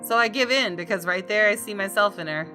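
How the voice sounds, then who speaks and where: A woman, a gaming streamer reading visual novel narration aloud in an expressive, dramatic way. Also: mid-range voice, brisk. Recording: music behind the voice. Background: game music.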